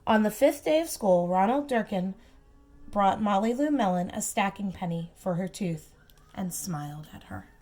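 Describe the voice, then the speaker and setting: A woman reading a storybook aloud to young children, expressive and emphatic, with simple asides and questions for the listeners. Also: medium pitch. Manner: expressive, slow emphatic phrasing, simple child-directed comments. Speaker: preschool teacher reading aloud.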